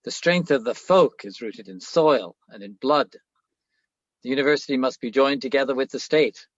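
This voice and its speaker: A man's voice: medium pitch, normal speed, no room sound, close to an everyday voice. Man acting dull-sounding, pompous fanatical rector. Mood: fervent, stern. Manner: declamatory, pompous. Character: stern, fanatical Nazi-era university rector giving inaugural speech. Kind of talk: formal oratory in a radio play.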